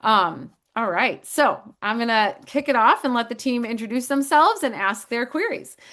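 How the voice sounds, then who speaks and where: A woman's voice: on the high side, expressive, normal speed, a bit bright. Upbeat woman hosting a casual demo. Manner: upbeat, friendly, with frequent fillers. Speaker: sales host of company demo. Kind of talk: casual chat on video call.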